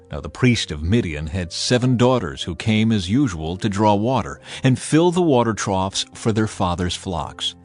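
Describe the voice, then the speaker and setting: A man reading scripted Bible narration in a measured, expressive storytelling manner. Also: fairly low pitch. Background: background music.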